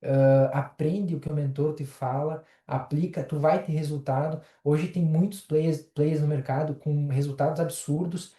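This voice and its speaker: A man talks with a very dark, mellow voice, halting now and then on filler sounds. The recording sits in an echoey room. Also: fairly high pitch. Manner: relaxed, hesitant with many fillers. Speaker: podcast guest, affiliate marketer. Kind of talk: casual podcast chat.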